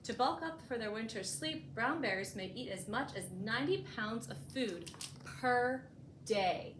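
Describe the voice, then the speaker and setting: A woman reading a picture book aloud for children as a storytime host, expressively and with deliberate emphasis, adding short explanatory asides. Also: a little high, expressive. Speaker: librarian hosting a bedtime storytime. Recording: echoey room with clear background noise.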